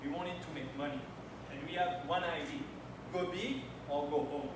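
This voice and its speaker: A man in his thirties from France gives a timed talk in English, his second language, telling his own story with slightly non-native phrasing. His voice is a bit high for a man. He is picked up from a distance in a very echoey event hall with clear noise in the background. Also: normal speed. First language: French. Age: 30s. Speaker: conference speaker. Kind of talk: timed slide presentation. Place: event hall at a launch party.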